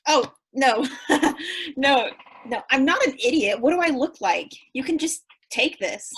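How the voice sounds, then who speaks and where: An actress in a staged play reading, playing a brash, eager, childlike woman who pushes a deal with cheeky excitement. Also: high-pitched, a little slow, husky, exaggerated voice, poor audio. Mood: excited.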